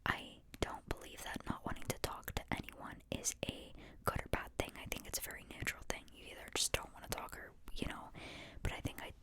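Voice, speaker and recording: Woman, breathy whisper, ASMR style, reflective, earnest, informal, self-questioning. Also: brisk, fairly bright tone. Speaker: host sharing reflections on a psychology book.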